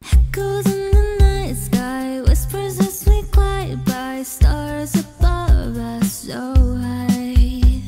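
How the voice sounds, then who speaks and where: A woman singing a pop song in a drawn-out, melodic delivery. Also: high-pitched. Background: loud pop music backing.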